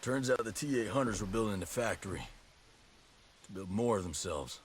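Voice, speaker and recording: A man playing a gruff, confident special forces soldier in a video game cutscene, speaking in a terse, understated military manner. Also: on the low side, animated, normal speed, a little hiss or hum, natural voice. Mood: steady, reassuring.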